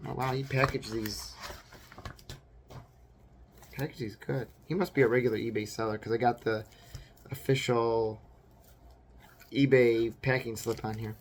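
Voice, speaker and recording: A man in his forties, hoarse from a recent cold, rambling casually on his vlog with lots of fillers and restarts. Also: mid-range voice, steady tone, measured pace, long pauses. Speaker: vlogger. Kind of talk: casual unboxing chat.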